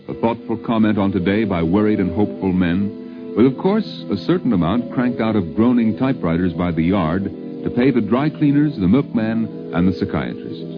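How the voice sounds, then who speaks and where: A low male voice narrates a 1950s radio documentary with wide, lively intonation. He is measured and wry, with a dramatic touch. The sound is dull and vintage, with music underneath.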